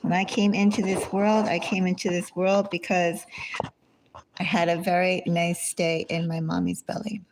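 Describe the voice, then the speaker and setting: A woman's voice: fairly low pitch, level tone, moderate pace, gravelly, slight background noise, low-quality recording. A woman giving a casual tarot reading, rambling and thinking aloud, asking herself small questions as she goes. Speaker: tarot reader. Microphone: phone.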